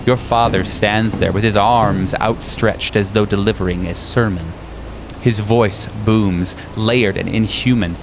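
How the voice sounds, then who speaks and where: A man narrating a horror story as game master, in a deliberate, ominous manner. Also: fairly low pitch, background noise. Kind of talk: scripted horror narration in second person.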